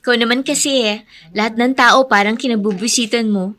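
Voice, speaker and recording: A woman's voice: fairly high pitch, steady tone, a little fast, a bit bright, natural voice. An actress playing a frank young girlfriend who teases and scolds, in a radio drama. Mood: frank, chiding. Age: young adult.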